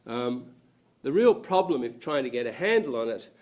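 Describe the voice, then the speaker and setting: Hoarse man giving a talk. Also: medium pitch, sing-song, moderate pace, a little mellow. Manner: hesitant, frequent ums and restarts. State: hoarse, croaky voice. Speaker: panel speaker, academic. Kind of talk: public lecture talk. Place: university lecture room.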